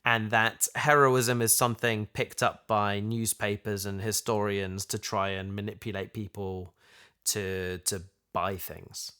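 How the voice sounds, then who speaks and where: A man's voice: on the low side, level tone, measured pace, sharp tone, clear, resonant tone. A man co-hosting a casual discussion, speaking hesitantly as he thinks aloud. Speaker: podcast co-host and audio drama creator.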